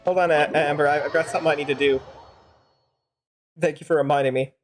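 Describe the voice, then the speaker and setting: A woman's voice: deep voice, fairly even intonation, fast, soft background music. A woman streaming a game, giving casual commentary in a wry, argumentative manner.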